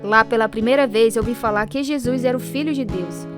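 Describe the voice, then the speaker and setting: Actress as higher-voiced woman telling her story. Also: brisk, music in the background, natural voice. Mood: reflective. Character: woman recounting her troubled youth, also as earnest teenager. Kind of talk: scripted dramatized narration.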